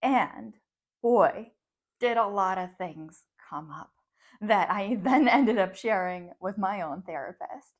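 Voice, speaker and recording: A woman's voice: medium pitch, animated, slow pace. A woman in her thirties, a psychologist and coach whose first language is Russian, talking reflectively to camera and laughing often between thoughts. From grew up in the United States from age ten, born abroad. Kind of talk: personal talk to camera.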